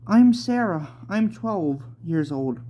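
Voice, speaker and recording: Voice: mid-range voice, expressive, takes it slowly, mellow, voice pushed up. A narrator voicing a frightened, pleading twelve-year-old girl in an amateur manga read-aloud. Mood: nervous.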